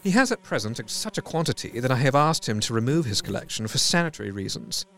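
An actor playing a brooding, studious asylum doctor dictating case notes in an audio drama, formal, clinical and reflective. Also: male voice, medium pitch, very animated, fast talker, creaky, fairly bright tone. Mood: absorbed, analytical. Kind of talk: dramatic diary narration.

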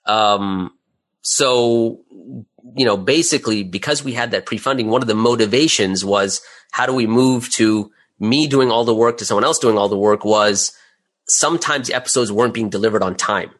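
A man's voice: fairly low pitch, normal speed, fairly bright tone. A middle-aged man speaking casually as an interview guest, candid and self-deprecating about his own work as a creator. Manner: candid, self-deprecating, chuckles while talking.

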